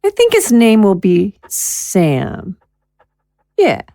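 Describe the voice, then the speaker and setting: Bossy, slow-drawling wife, very lively pitch. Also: female voice, no echo, clean studio sound, heavily acted. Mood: insistent. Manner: demanding, emphatic, drawn-out delivery. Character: bossy, impulsive, childish wife in her thirties. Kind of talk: audio drama acting.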